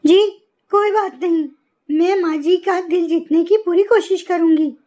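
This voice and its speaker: Very high, meek young crow bride voiced by a woman. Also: a little slow. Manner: gentle, deferential. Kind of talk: Hindi cartoon story acting.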